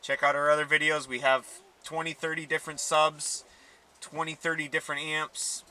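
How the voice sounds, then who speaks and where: A man's voice: on the high side, level tone, normal speed, sharp tone. A man hosting a casual car audio unboxing, talking informally and thinking aloud, with some noise behind him. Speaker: host of a car audio channel.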